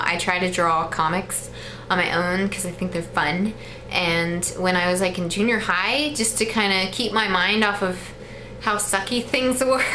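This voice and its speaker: A woman's voice: mid-range voice, a bit bright, slight background noise. A woman in her twenties with a Midwestern American accent, chatting casually to camera in a rambling way with frequent ums. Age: 20s. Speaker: vlogger.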